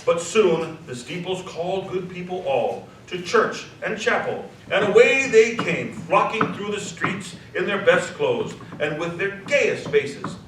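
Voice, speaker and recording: A man narrating a scripted story dramatically, savoring the descriptive words with expressive delivery. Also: high-pitched, unhurried, hardly any pauses. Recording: echoey hall, distant mic, faint noise.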